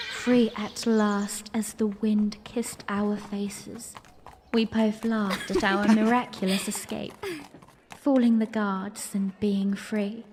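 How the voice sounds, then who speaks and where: A woman's voice: on the high side, darkish tone, slight background noise. A woman playing a young, playful, romantic princess who tells her story in a genteel, tender way in a fan audio drama. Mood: playful, warm.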